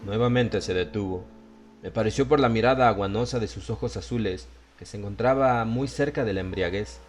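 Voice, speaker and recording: A man narrates a horror audiobook in a fairly low voice. The delivery is scripted storytelling, with music playing faintly behind him. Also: a little fast.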